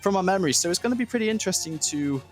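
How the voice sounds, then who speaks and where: A man's voice: high-pitched, lively intonation, rapid-fire, soft background music. A man aged 23 co-hosting a casual chat, giggly and hesitant with frequent ums. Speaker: podcast co-host.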